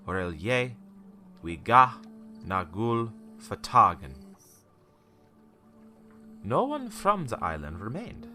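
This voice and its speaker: An actor playing a haunted, bitter old small-town shopkeeper in a radio play, telling an ominous tale haltingly with theatrical rhetorical questions. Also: male voice, medium pitch, bright, forceful tone, full character voice. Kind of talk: dramatic radio play monologue.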